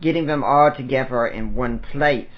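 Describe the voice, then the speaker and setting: Quick-talking male host, muffled lo-fi line. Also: mid-range voice, a little hiss or hum. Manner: quick, reads verses aloud then poses questions. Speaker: podcast host. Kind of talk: interview questions.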